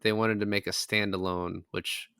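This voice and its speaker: A man's voice: fairly low pitch, little pitch movement, normal speed, a bit bright, clear, resonant tone, no echo, clean studio sound. A man in a casual film discussion, retelling things in a rambling way with some swearing.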